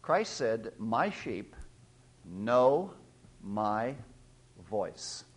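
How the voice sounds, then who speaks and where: A man's voice: big pitch swings, very slow, husky. A middle-aged man preaching a sermon, reading scripture aloud and explaining its old words as he goes. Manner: pitch rises sharply and louder when stressing verses. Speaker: pastor.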